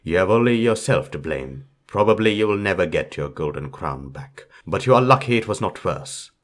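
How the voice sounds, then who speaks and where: Guy doing a wise protective elk in a fairytale, low voice, stern and warning. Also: animated, moderate pace. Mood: scolding. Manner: cautioning, firm. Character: protective, stern male elk guiding a princess. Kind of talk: storybook character reading.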